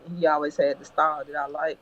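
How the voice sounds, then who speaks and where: Laid-back Texan rapper on a dull line. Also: male voice, fairly high pitch, flat delivery, a bit bright. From Beaumont, Texas. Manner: laid-back, unhurried storytelling. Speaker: rapper, interview guest. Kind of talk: casual interview. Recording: dull remote call line with faint noise.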